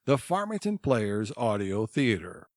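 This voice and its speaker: A man's voice: lowish voice, very animated, unhurried. A man presenting an audio theatre show, reading a scripted introduction.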